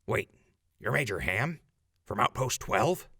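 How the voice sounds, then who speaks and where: A man's voice: fairly low pitch, sing-song, unhurried, creaky, bright, forceful tone, husky, no echo, studio quality, full character voice. A man playing a casual, joking leader of a mercenary crew in a post-apocalyptic audio drama. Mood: businesslike. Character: talkative, wisecracking wasteland mercenary crew leader.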